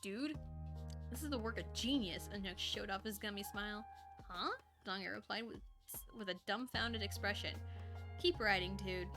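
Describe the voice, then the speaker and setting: A woman's voice: mid-range voice, moderate pace, music in the background. A woman casually reading a first-person story aloud on a live stream, informally, with ad-libbed asides and lightly voiced dialogue.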